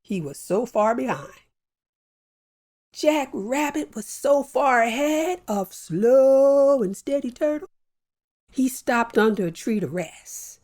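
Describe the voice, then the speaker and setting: A woman hosting a children's story show, telling a folktale with a lively, playful delivery and drawn-out phrasing. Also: fairly high pitch. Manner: warm animated storyteller with slow deliberate pacing. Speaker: storyteller host. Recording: soft music bed behind.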